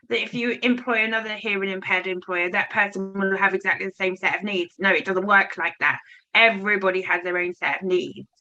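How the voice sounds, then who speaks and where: A woman's voice: medium pitch, sharp tone. A woman moderating a panel discussion, putting questions to guests with some restarts. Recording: dull lo-fi audio.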